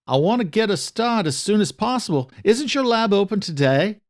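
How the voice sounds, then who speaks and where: A man's voice: high-pitched, animated, normal speed, pitched above the performer's own voice. A man voicing an anxious, over-eager, polite young man in an audio drama, a little breathless. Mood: pressing, eager.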